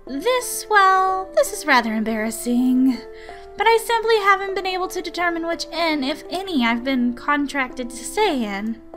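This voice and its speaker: A woman's voice: high voice, fairly bright tone, voice pushed up. A woman voicing an embarrassed young woman scholar in a visual novel, with formal, apologetic phrasing. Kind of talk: visual novel character acting.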